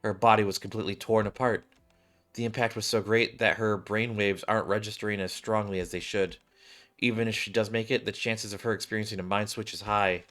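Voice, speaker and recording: A man's voice: lowish voice, steady tone, brisk, fairly bright tone, faint music behind, close to an everyday voice. A man voicing a grim, weary woman soldier with a serious, formal manner in a sci-fi game. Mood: grave.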